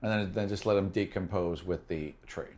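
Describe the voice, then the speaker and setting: A man's voice: low voice, fairly quick, a little mellow. A man working as game master, narrating a tabletop game in a descriptive, conversational way and thinking aloud as he goes.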